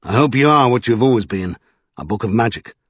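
Guy playing a desperate earnest man in an audiobook, husky and pushy, real animated. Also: medium pitch, fairly quick, dry recording, dull audio. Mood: pleading. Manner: urgent, insistent, exasperated. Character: desperate, earnest, exasperated serving man pressing for answers.

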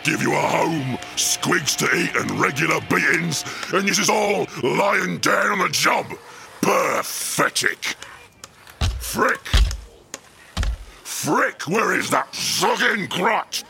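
An actor playing a brutish, bullying ork mechanic boss in a scripted audio drama, gravelly and scornful, with rough grammar. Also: male voice, on the high side, lively intonation, slow pace, sharp tone, faint music behind, heavily acted. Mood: angry, bullying. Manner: bellowing, scornful, rough ungrammatical speech.

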